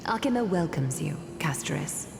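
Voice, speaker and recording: Low, regal, echoing seer. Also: female voice, lively intonation, gravelly, noisy background. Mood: composed, commanding. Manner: stately, composed, deliberate. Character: regal, fateful woman seer, calm and grave. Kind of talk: anime game voice acting. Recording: long echoing reverb. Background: music.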